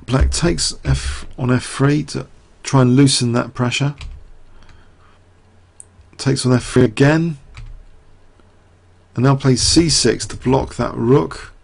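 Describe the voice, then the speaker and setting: Croaky man commentating on chess. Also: medium pitch, steady tone, takes it slowly, pauses often. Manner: talks through moves, quizzes viewers. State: getting croaky. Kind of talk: live chess game commentary.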